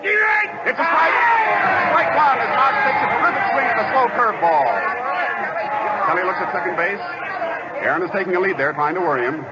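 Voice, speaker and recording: A man acting as an excited 1940s radio baseball announcer calling play-by-play. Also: high voice, close to an everyday voice. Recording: dull old radio recording, loud crowd noise. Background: roaring stadium crowd.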